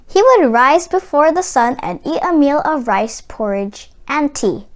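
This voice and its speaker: A woman narrates a children's picture book in a high voice. She reads slowly and carefully, with very lively swings in intonation. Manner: careful, storytelling delivery. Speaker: children's story reader. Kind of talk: expressive scripted storybook narration.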